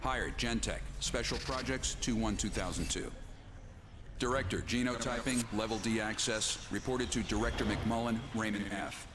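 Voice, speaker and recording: A man's voice: fairly low pitch, normal speed, natural voice. An actor voicing an impersonal military man reading out a file in a clipped, official way, as in a game cutscene. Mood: official, detached. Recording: clear vehicle and ambient noise.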